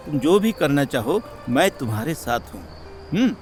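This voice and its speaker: Lively, supportive village husband, played by a man. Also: a little high, normal speed, soft background music. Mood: encouraging, teasing. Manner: reassuring, expressive. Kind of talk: cartoon moral story voice acting.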